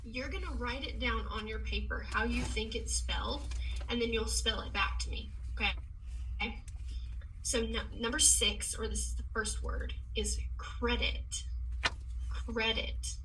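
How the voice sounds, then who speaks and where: A woman teacher giving a child a one-on-one reading and spelling test over a video call, praising warmly and reading questions aloud slowly. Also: a little high, steady tone, slight background noise. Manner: encouraging, upbeat praise; reads questions and answer choices aloud slowly. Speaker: teacher giving a student an assessment. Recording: voice a bit far from the mic.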